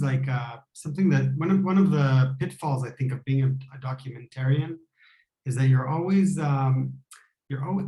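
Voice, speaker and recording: A man's voice: a little high, a little mellow, roomy sound, poor audio. A man who produces documentary podcasts, thinking aloud over a video call and restarting his sentences as he reflects. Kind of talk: casual conversation on a video call.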